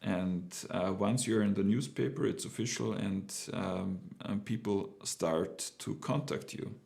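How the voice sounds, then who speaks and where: Slow, gravelly man with a German accent. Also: on the low side, a little mellow. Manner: hesitant, many fillers and restarts. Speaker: entrepreneur sharing his experience. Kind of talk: casual first-person storytelling.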